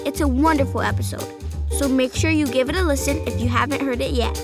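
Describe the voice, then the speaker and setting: A woman's voice: sits high, fast talker, music in the background. A woman hosting a scripted interview show made for children. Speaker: podcast host.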